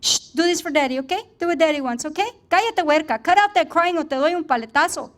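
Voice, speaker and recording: Woman playing a coaxing, threatening dad, hushed wheedle turning to barked orders, fairly high voice, storybook reading. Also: animated, quick pace. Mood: coaxing, menacing. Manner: hushed, wheedling then harsh commands. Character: coaxing, menacing father hushing his child.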